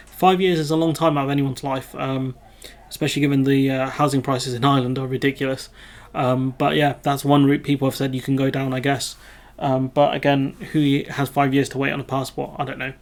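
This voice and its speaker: A British man hosting his own video channel, reading out a news story and adding rambling, self-correcting remarks full of ums. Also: mid-range voice. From United Kingdom. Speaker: video host. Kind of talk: casual commentary reading news.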